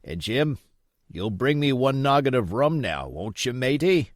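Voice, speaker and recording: A man voicing a sick, desperate old pirate in a storybook, wheedling and blustering in rough sailor's dialect. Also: mid-range voice, big pitch swings, measured pace, no echo, studio quality. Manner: rough nautical dialect, pleading and cursing.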